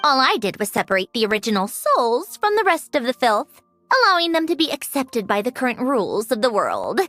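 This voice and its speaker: A woman playing a proud, aloof, sharp-tongued young genius in a video game, with a dry, lecturing manner. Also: on the high side, wildly swooping pitch, brisk. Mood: smug, lecturing. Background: background music.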